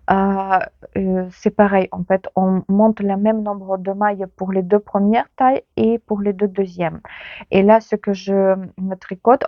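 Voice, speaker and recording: A woman's voice: mid-range voice, no room sound. Woman, ukrainian first language, chatty knitting host, lots of ums, dry kinda muffled sound. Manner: chatty, many hesitations and filler sounds. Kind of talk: casual chat to viewers.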